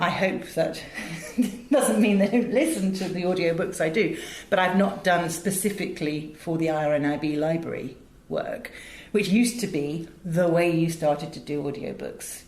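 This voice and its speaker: A woman's voice: low-pitched, a little mellow. A middle-aged British woman chatting articulately and emphatically as an interview guest, telling anecdotes about her work. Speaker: interview guest, audio director and drama school teacher. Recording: roomy, echoey indoor sound.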